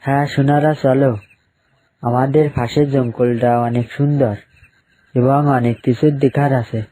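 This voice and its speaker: Guy doing a protective dino dad for a kids cartoon, mellow soft-edged voice, middle pitch. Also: level tone, moderate pace, close to an everyday voice, poor audio. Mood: gentle. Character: caring, protective adult dinosaur father. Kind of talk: children's cartoon dialogue.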